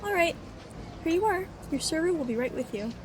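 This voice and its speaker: A woman's voice: very high-pitched, lively intonation, fairly quick. A woman playing a bubbly, polite restaurant hostess in an audio roleplay, with a customer-service manner. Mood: perky, polite. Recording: faint restaurant crowd and noise.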